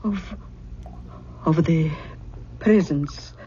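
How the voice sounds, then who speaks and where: An actress playing an affectionate, homely older aunt in old-time radio drama, with a folksy, fussing manner. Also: female voice, low-pitched, very slow. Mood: worried, gentle. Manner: folksy, fond, gently fussing. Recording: muffled, noisy vintage radio recording.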